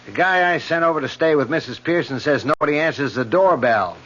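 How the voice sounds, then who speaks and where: An actor playing a brisk, matter-of-fact police lieutenant in an old-time radio mystery. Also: male voice, a little high, lively intonation, fairly bright tone. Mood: brisk, puzzled. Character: brisk male police lieutenant, gruffly friendly. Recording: dull lo-fi old radio recording.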